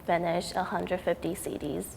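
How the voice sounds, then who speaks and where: A woman's voice: on the low side, level tone, moderate pace, creaky, a little mellow. A young woman from Taiwan, a student artist, talking casually in an interview with frequent ums and likes. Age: young adult. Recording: reverberant hall with faint noise.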